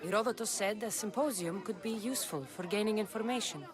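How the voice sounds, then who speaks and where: A woman's voice: mid-range voice, quick pace, a crowd in the background, close to an everyday voice. A woman playing a proud mercenary woman in a video game, with blunt, dry, clipped replies. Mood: dry, businesslike. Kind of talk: video game cutscene acting.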